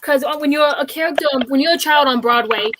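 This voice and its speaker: A woman's voice: high voice, quick pace, noisy background. A woman co-hosting a casual chat show on a video call, rambling with many fillers and restarts. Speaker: co-host.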